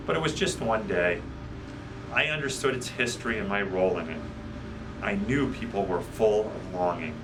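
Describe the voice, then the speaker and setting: A man narrating a children's audiobook in a measured, deliberate way, recorded at a slight distance from the mic. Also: fairly low pitch, background noise. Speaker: guest storybook reader.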